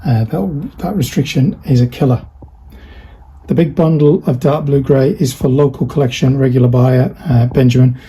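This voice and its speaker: A man's voice: mid-range voice, moderate pace, warm tone, slightly rough, rough recording. A man chatting casually while packing orders, rambling and thinking aloud with lots of fillers. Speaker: online parts seller. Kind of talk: casual behind-the-scenes vlog chat.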